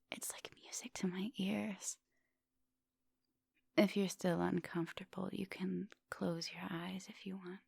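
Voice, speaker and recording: A woman playing a seductive, gentle vampire professor in an intimate ASMR roleplay, murmuring and whispering coaxingly up close. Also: fairly low pitch, no room sound, exaggerated voice. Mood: tender, seductive. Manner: breathy murmurs and whispers, coaxing pet names. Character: seductive female vampire professor, hungry yet gentle and polite. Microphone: close microphone.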